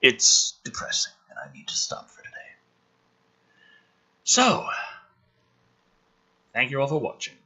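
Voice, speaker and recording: A man's voice: mid-range voice, wildly swooping pitch, measured pace, long pauses, bright, forceful tone, husky, rough recording. A man hosting a channel, giving a sarcastic, theatrical script reading with exasperated, foul-mouthed commentary. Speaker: host of a gaming and film channel.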